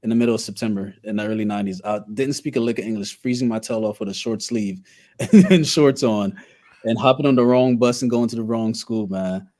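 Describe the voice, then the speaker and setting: A man's voice: on the low side, a little fast, a little mellow. Candid Haitian-born man telling his story. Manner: candid and reflective, with wry laughs. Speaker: podcast guest, coach. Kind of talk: personal storytelling in conversation.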